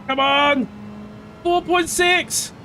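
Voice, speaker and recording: A high-pitched man from Portugal calls out his racing game live. He yells and cries out when the racing gets close. Between races he slips into calm, rambling chat with his viewers, over a faint hiss of noise. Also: very slow. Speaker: game streamer. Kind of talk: live gameplay commentary and chat reading.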